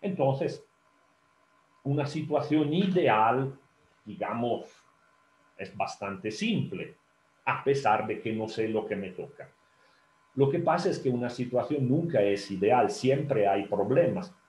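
A man whose first language is Italian lectures students over a video call in a slow, halting way. He fills pauses with ums and restarts, and often turns to ask the audience questions. His mid-pitched voice sounds roomy and echoey. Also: animated, darkish tone. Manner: hesitant, many fillers and restarts, asks the audience. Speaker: telephone interpreter giving a talk.

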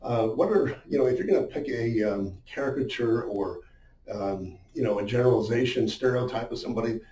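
Male business coach, middle-aged, mid-pitched mellow voice, chatty explainer style, recorded in a roomy, echoing space. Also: a little fast. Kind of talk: informal instructional talk at a whiteboard. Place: small indoor room with a whiteboard. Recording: roomy, muffled, distant-sounding echo.

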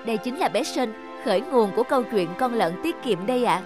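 A woman's voice: fairly high pitch, lively intonation, fairly quick, natural voice. A kindly, appreciative schoolteacher in a children's cartoon, acted by a woman. She speaks formally and encouragingly over prominent music. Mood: grateful. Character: kind, appreciative female schoolteacher. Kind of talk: cartoon voice acting. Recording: music bed under the voice.

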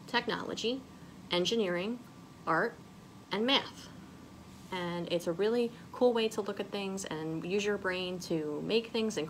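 A woman in her thirties, a children's librarian, reading a picture book aloud expressively with clear phrasing in a small room. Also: on the low side, a little hiss or hum, rough recording. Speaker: children's librarian, storytime reader.

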